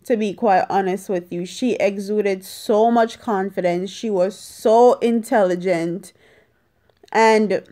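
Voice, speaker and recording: A young adult woman, talking emphatically and deliberately in a casual opinion vlog, repeating phrases for stress. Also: medium pitch, warm tone. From Jamaica. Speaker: vlogger. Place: small indoor room at home. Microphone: phone at arm's length.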